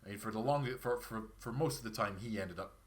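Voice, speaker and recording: A man's voice: lowish voice, fast talker. A man with a Perthshire Scottish accent and a scratchy throat from a cold, chatting casually and rambling while he streams a game. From Scotland. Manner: rambling, chatty, self-deprecating.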